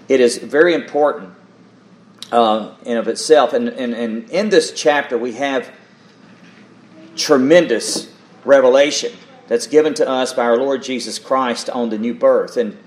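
A man with a mid-pitched voice preaches from scripture. He is earnest and emphatic, repeating key words to drive them home and turning to his listeners with short rhetorical questions. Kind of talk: sermon with scripture reading.

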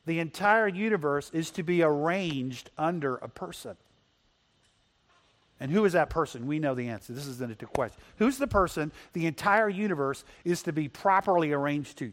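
A man's voice: a little high, a bit bright. A man preaching a Sunday sermon whose first language is Spanish, reading scripture and asking the congregation questions. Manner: asks the congregation questions and slows for emphasis. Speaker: pastor.

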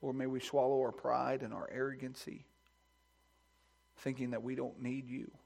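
A pastor speaks from the pulpit of a church sanctuary, his voice sitting at an ordinary pitch for a man. He moves between a hushed, earnest prayer and climbing, loud, fervent preaching. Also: flat delivery, normal speed, vocal fry. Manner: builds to loud, high-pitched preaching fervor; soft, hushed praying voice. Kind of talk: sermon with scripture reading and prayer.

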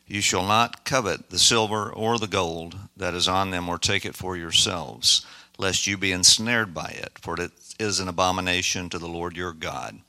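A man with a Dominican Spanish accent, reading scripture aloud in a steady, measured way to a class. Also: deep voice, no room sound.

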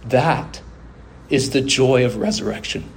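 A man delivering a sermon as a preacher, with emphatic oratory, deliberate pauses, drawn-out phrases and rhetorical refrains. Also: mid-range voice, vocal fry, slight background noise. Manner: rhetorical questions and repeated refrains.

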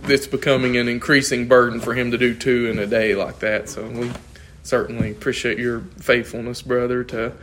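A man's voice: mid-range voice, little pitch movement, normal speed, few pauses, a bit bright, a little hiss or hum. A man, losing his voice, teaching an informal Bible class in a conversational, thinking-aloud way and asking the class questions.

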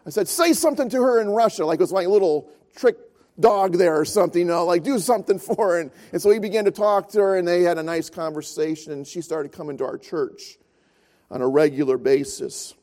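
A man's voice: high-pitched, brisk, darkish tone. A man preaching a sermon as pastor, telling a story and then building earnest, repeated points.

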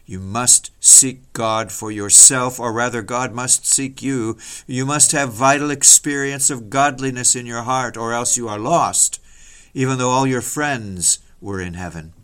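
A man's voice: mid-range voice, measured pace, fairly bright tone, clear, resonant tone. Solemn man preaching a dramatic sermon. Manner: solemn, declamatory, rhetorical. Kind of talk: dramatic hellfire sermon, old-fashioned scripted oratory.

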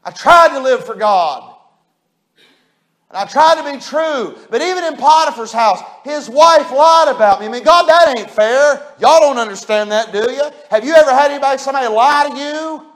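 A man's voice: extremely high pitch, lively intonation, normal speed, a bit bright. A man, a preacher, voicing the biblical Joseph as an aggrieved young man who pleads that no one understands what he has gone through. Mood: indignant. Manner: pleading, indignant complaining. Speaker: pastor.

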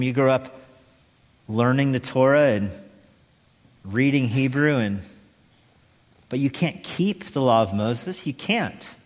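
A man preaching an expository sermon as a pastor, emphatic and deliberate, repeating key phrases with pauses. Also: medium pitch. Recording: echoey hall with long reverb.

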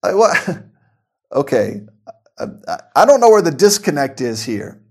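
A man's voice: on the high side, big pitch swings, measured pace, breathy edge. A man preaching an informal sermon, earnest and conversational, often repeating words as he restarts his sentences. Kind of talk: conversational radio-style sermon.

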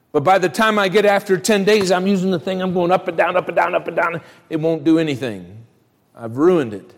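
A middle-aged American man preaching a sermon as a pastor, speaking in an emphatic, folksy way straight to his listeners and repeating phrases. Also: high voice. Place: large church hall, live service. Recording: long hall reverb on voice.

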